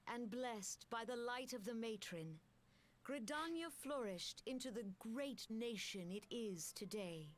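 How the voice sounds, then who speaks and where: A woman voicing a calm, dignified elder leader in a video game, giving a solemn speech about her nation's history. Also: on the high side, measured pace.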